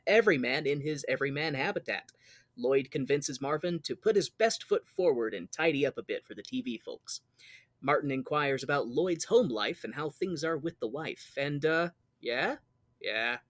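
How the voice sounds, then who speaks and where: A man's voice: on the high side, a bit bright, no echo, clean studio sound. An American man hosting a podcast, telling a story in a playful, jokey, casual way. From Mountain West United States. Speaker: podcast host. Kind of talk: recapping a musical, casual joking chat.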